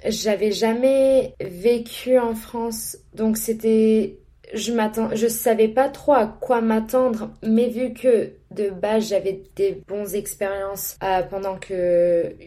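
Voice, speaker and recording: Hesitant young woman, mellow voice. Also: fairly high pitch, steady tone, slow. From Pakistan, raised in London. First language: English. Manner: hesitant, many fillers and restarts. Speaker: podcast guest. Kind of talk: casual interview.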